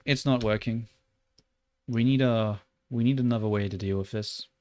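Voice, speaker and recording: A young Australian man livestreaming while he works, chatting loosely with lots of "like" and muttering curses at his software. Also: mid-range voice, steady tone, moderate pace. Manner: rambling and loose, heavy on "like", muttering and swearing at the software. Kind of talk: casual livestream chat while 3D modeling.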